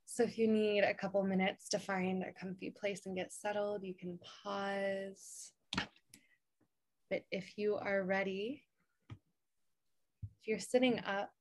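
A woman podcast co-host leading a calm guided meditation with spoken instructions. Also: mid-range voice, no room sound.

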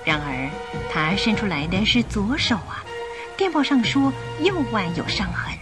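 A woman narrating a Chinese radio drama in a scripted, expressive storytelling style. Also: medium pitch, moderate pace. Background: music.

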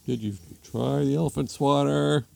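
A man's voice: mid-range voice, fairly even intonation, normal speed, creaky, a little mellow, pitched above the performer's own voice. A man voicing a puzzled, scheming husband in a comic story, conversational and mildly exasperated.

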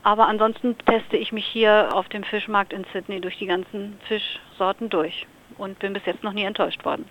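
A woman from Lower Saxony answering questions in a relaxed radio interview, thinking aloud with small fillers. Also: normal speed. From Lower Saxony, Germany. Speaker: interview guest. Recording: slightly dull radio recording.

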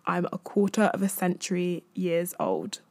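A woman's voice: on the low side, very animated, normal speed, a little mellow, no room sound. A woman in her twenties chatting casually and candidly on a solo podcast, rambling in a self-amused way into a close mic. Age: 20s. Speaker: podcast host.

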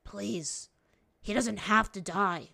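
A woman voicing an earnest, vulnerable young man in a fantasy story, apologetic yet stubborn, with halting pleas. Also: mid-range voice, gravelly, bright, forceful tone, heavily acted.

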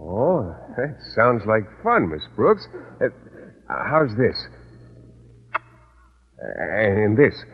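An American man playing a shy, naive, earnest gentleman in an old radio sitcom, hesitant and polite. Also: fairly low pitch, very animated, very slow, pauses often, breathy edge, heavily acted. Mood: innocent, pleased. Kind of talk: 1940s-50s radio sitcom acting. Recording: dull, reverberant old radio recording.

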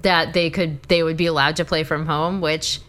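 A woman co-hosting a podcast from her apartment, sick, with her voice cracking and deeper than usual, chatting in a relaxed way. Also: moderate pace. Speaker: podcast co-host. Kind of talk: casual podcast chat.

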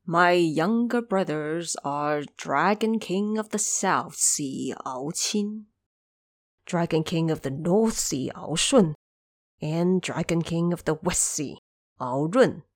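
A woman's voice: lowish voice, expressive, takes it slowly, no echo, studio quality, heavily acted. A woman voicing an anxious, courteous old male dragon king in an audio drama, pleading and hesitant. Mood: placating.

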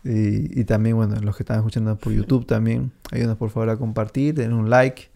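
Rambling, gravelly podcast host man. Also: lowish voice, flat delivery, a little fast, warm tone. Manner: rambling, many fillers, laughs easily. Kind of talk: casual chat.

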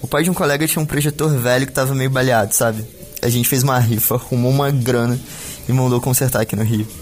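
A man's voice: mid-range voice, steady tone, quick pace, natural voice. Easygoing young Brazilian student played by a man. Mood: reminiscing. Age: young adult. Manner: colloquial, casual. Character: easygoing young male student flatmate, chatty film buff. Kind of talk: audio drama dialogue.

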